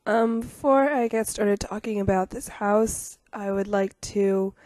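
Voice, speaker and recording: A young woman with a rough voice from getting over a cold, chatting casually over a game video with lots of ums and self-deprecating asides. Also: mid-range voice, unhurried, darkish tone, no echo. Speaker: YouTuber narrating a game speed build.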